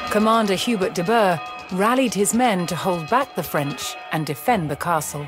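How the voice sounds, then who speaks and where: A woman reading scripted historical narration for a strategy game, telling the story in a measured, deliberate way. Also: fairly low pitch. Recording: studio voice-over with music underneath. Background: music.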